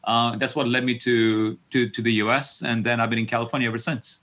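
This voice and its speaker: Halting male executive, slightly muffled audio. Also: mid-range voice, level tone, a bit bright. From Kenya. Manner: halting, repeats words as he thinks. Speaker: tech company CEO, interview guest. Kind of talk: conversational interview answers.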